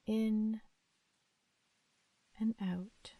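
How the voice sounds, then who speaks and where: A woman leading a guided sleep meditation in a gentle, soothing, unhurried manner with long pauses. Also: on the high side, flat delivery, no room sound, poor audio.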